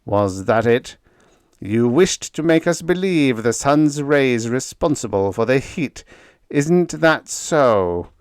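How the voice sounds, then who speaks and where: A man's voice: fairly high pitch, measured pace, dry recording, studio quality, voice pushed up. A man voicing a pompous, spiteful official who snarls and raves. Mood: angry. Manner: snarling, venomous. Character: spiteful, pompous male efficiency expert.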